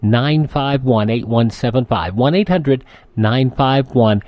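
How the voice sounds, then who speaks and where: A man's voice: medium pitch, slow pace, a little mellow, no room sound. A man reading a radio commercial in a folksy, earnest way, addressing listeners as friends. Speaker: product spokesman. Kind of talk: scripted radio ad read.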